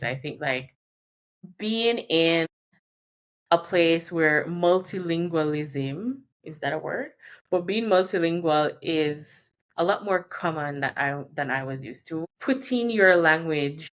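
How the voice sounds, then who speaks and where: A woman's voice: low-pitched, fairly bright tone. A woman from Jamaica explains things informally as an advice presenter, conversational, repeating key terms and correcting herself as she goes. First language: Jamaican Patois and English. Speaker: career and relocation advice presenter.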